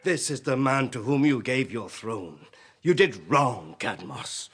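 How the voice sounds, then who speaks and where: An actor playing a blunt, reproachful man in a classical radio drama, with a husky voice. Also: mid-range voice, expressive, unhurried, fairly bright tone, exaggerated voice. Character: blunt, reproachful male critic of the young king.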